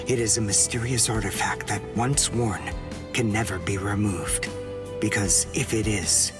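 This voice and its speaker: An actor playing an earnest, determined young male warrior in an anime game, speaking in a solemn, measured, intense way. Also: fairly low pitch, close to an everyday voice. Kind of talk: English-dubbed anime game acting.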